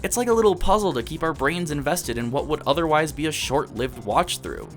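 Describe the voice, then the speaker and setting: Lively, higher-voiced young man narrating. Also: a little fast, soft background music. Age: early 20s. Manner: opinionated, enthusiastic fan. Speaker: anime video essayist. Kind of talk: scripted video essay narration.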